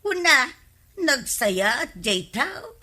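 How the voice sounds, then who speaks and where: A woman's voice: sits high, sing-song, slow, sharp tone, exaggerated voice. An actress with an Ilocano accent playing a chatty village woman in a comedy radio drama. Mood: gossiping.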